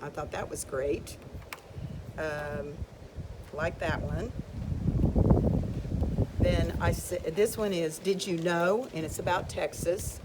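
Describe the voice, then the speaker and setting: Older woman, lowish voice, chatty, rambling, reads passages aloud, background noise. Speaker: video creator showing books. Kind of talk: casual show-and-tell of books.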